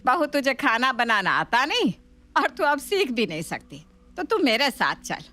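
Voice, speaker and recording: A woman's voice: sits high, very animated, measured pace, bright, forceful tone, heavily acted. A woman voicing a shrewd older mother-in-law in a family story, scolding and mock-sweet. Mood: mock-sweet, commanding.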